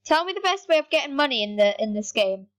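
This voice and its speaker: A woman giving rambling, excitable commentary over her gameplay, talking straight to her viewers. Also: sits high, quick pace. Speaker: gaming YouTuber.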